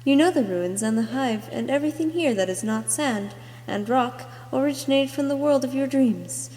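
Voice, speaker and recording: Mellow, fairly high woman narrator, echoing. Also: normal speed. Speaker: amateur voice actor. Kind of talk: scripted character narration for an amateur voice reel. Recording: echoey, long room reverb.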